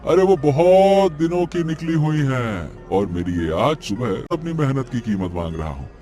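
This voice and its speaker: A man's voice: medium pitch, lively intonation, moderate pace, warm tone, natural voice. An actor playing a humble, earnest working man in a cartoon story, speaking politely in a coaxing, pleading way. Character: earnest humble male vegetable seller and eatery cook, pleading. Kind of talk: Hindi cartoon story voice acting. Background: background music.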